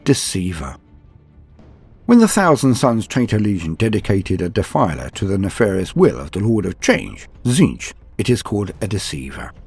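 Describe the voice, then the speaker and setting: A man's voice: fairly low pitch, very animated, moderate pace, darkish tone, slightly rough, faint music behind. A man hosting and narrating scripted fantasy wargame lore in a theatrical, florid, formal manner. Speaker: host and narrator of a tabletop wargame lore channel.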